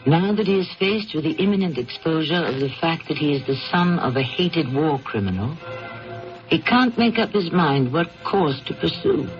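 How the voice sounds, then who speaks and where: A dull vintage broadcast of an American man as dramatic host and narrator, with faint music behind him. His voice sits a little high, and his delivery is measured and grave. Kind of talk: scripted dramatic radio narration. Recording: dull old radio broadcast.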